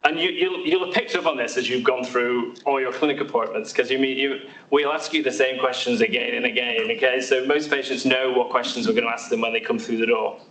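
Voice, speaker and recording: A man's voice: medium pitch, fairly even intonation, a little fast, fairly bright tone. British man giving a talk in an echoey hall. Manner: friendly, many fillers and restarts. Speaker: haematology doctor. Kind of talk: seminar talk to patients. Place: large echoey hospital hall. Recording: roomy hall sound from far off.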